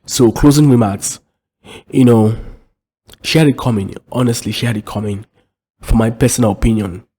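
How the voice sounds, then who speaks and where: Male commentator, blunt closing remarks, dark mellow tone, husky. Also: medium pitch, normal speed, pauses often. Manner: blunt, opinionated.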